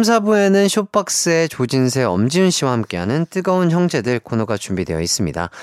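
A man's voice: medium pitch, dry recording. A man hosting a radio show in a studio. He speaks in a friendly, polite and upbeat way as he reads out listener messages and greets his guests. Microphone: studio microphone.

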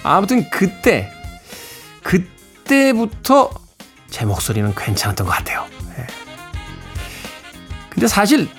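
A man's voice: high-pitched, wildly swooping pitch, takes it slowly, long pauses, a bit bright. A middle-aged man hosting a music radio show, mixing song introductions with casual anecdotes in a wry, self-teasing, conversational manner. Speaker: radio host and DJ.

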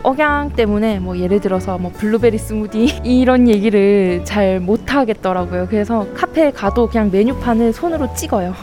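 A woman's voice: mid-range voice, normal speed, hardly any pauses, dark tone, faint music behind. A young woman with a Busan accent, speaking frankly and wryly in a recorded message to her old team leader. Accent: Busan dialect. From Busan, South Korea. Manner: frank, wry, with frequent small laughs. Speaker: listener sending a message.

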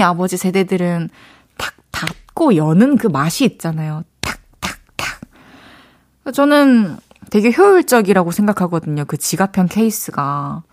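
A young woman with a Daegu accent, hosting a radio show and chatting casually as she reads out listener letters. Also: mid-range voice. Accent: Daegu Gyeongsang Korean. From Daegu, South Korea. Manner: chatty, playful, thinks aloud.

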